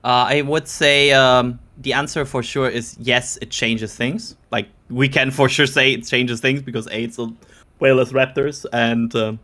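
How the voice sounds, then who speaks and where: A man co-hosts a live stream with a bright, forceful voice. He thinks aloud hesitantly, restarting and correcting himself as he goes. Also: medium pitch, fairly even intonation, normal speed. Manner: hesitant, self-correcting, speaks carefully. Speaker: co-host. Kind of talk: casual live commentary.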